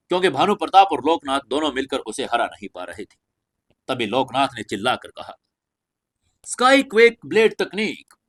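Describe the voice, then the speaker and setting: A man's voice: mid-range voice, a bit bright. A man reading a scripted fantasy audio story as narrator, with emphatic, dramatic storytelling delivery.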